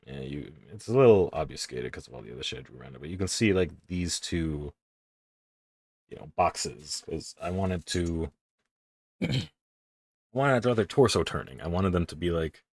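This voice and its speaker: A young man with a very deep, low voice talks through his drawing in loose, rambling chatter, cursing offhandedly and poking fun at himself. The sound is dry and close, with no room around it. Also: slightly rough, no echo. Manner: rambling, self-deprecating, swears casually. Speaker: streamer. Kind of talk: casual commentary while drawing.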